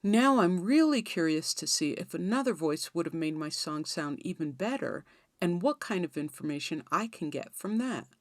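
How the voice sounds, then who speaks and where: Female tutorial host, slightly low pitch, lively intonation, conversational explainer delivery, close dry sound. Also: normal speed, no room sound. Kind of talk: instructional tutorial narration.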